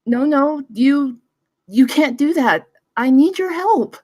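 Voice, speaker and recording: A woman's voice: high voice, lively intonation, slow pace, a little mellow, no echo. A young woman at a film table read playing an earnest, insistent intern investigator in her early twenties. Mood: pleading.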